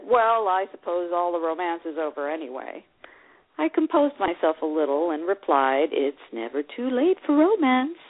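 A hopeful, lovelorn woman played by an actress confides her romantic memories with lively, swooping expression. The sound is narrow and muffled, like a phone line. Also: lowish voice. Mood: fond, teasing. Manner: expressive, confiding storytelling with acted dialogue. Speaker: actress reading a memoir. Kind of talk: dramatized first-person memoir reading.

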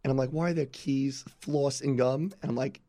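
A man hosting a podcast in casual chat. His voice is hoarse, and he talks in an easygoing, chatty way on a studio headset. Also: medium pitch, steady tone, moderate pace, dark tone. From Staten Island, New York. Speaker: podcast host. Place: radio studio.

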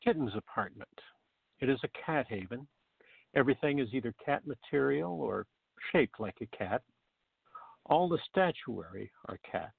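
A man narrates a farcical radio play from a script. His voice is gravelly and creaky at a middling pitch, and the sound is dry, thin and phone-like. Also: no echo. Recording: tinny, narrow phone-like sound.

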